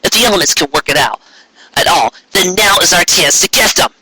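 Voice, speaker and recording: A man's voice: sits high, animated, brisk, sharp tone, slightly rough, no echo, full character voice, poor audio. A man playing a blunt, determined young female pony who urges others on in a story.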